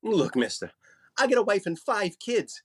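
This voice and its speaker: An actor playing an impatient, sarcastic and worn-out department store clerk in an old-time radio comedy. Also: male voice, high-pitched, very animated, moderate pace, slightly rough, full character voice. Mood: exasperated.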